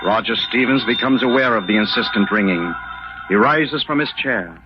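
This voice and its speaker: A man playing a solemn, ominous storyteller, narrating a 1940s radio mystery in a deliberate, dramatic way. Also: on the low side, over music, lightly acted. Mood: solemn suspense. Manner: deliberate, dramatic, hushed suspense. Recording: muffled old radio recording.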